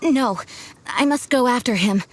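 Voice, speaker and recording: A woman playing a dutiful young knight commander in a video game, speaking in an earnest, formal, measured way. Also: on the high side, lively intonation, slight background noise. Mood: resolute. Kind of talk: video game character acting.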